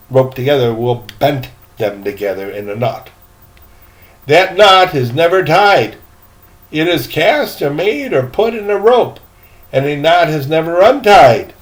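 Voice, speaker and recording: A man voicing a roly-poly seaman in a storybook, a patient and pedantic rope expert who lectures with deliberate emphasis. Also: medium pitch. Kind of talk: acted storybook dialogue.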